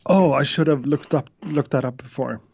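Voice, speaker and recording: A man from Sweden with a Swedish accent, a guest beatmaker chatting casually in an interview over a video call, often pausing with uh and um. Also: fairly high pitch, creaky, darkish tone, no echo, muffled recording. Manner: hesitant, many uhs and restarts.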